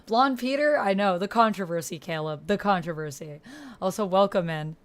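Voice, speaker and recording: A woman's voice: mid-range voice, moderate pace. A woman hosting a livestream in an effusive, chatty way, casually talking with her chat as she plays a roleplay game. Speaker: livestream host playing a text roleplay game. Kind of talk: casual livestream chat, reading chat and scenes aloud.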